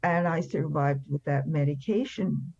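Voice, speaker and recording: An older woman speaks as an interview guest with a crackly, fry-laden voice. She is blunt and emphatic as she shares personal experience. The recording is dry and slightly dull. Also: low-pitched, measured pace, a little mellow, no echo. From France. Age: 70s or older. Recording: slightly dull, band-limited sound.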